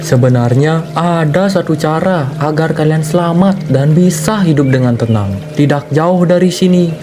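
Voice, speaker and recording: A man voicing a deceitful stork who poses as a saintly teacher, speaking in a solemn, measured, preacher-like manner. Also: on the high side, over music, close to an everyday voice. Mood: feigned concern.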